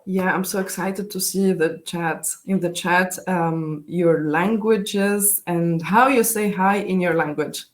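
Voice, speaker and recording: A young woman from Tunisia with a Tunisian accent, hosting a live online session in a halting way with frequent fillers. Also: fairly low pitch, fairly even intonation. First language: Tunisian Arabic. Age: young adult. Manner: halting, many fillers, switches between English, Arabic and French. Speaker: session host. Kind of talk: live online panel moderation.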